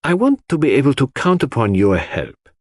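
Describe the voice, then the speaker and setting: A man voicing a grave, courteous foreign detective in an audiobook, speaking in a formal, measured, emphatic way. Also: mid-range voice, sing-song, a little mellow, no room sound, pitched above the performer's own voice. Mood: grave, earnest. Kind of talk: audiobook character voice.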